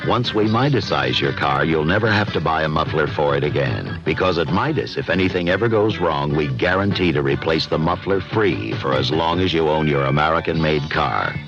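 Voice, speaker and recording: A man's voice: very deep voice, expressive, a little fast, hardly any pauses. A man reading a scripted radio commercial as the announcer. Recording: muffled radio ad, music behind.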